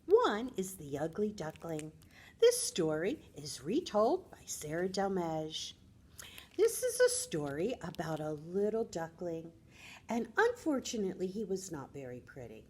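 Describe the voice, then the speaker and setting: Woman, American accent, lowish husky voice, lively swooping pitch, children's storytime presenter, expressive and teacherly. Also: measured pace. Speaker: children's librarian presenting books. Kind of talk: storytelling talk for young children.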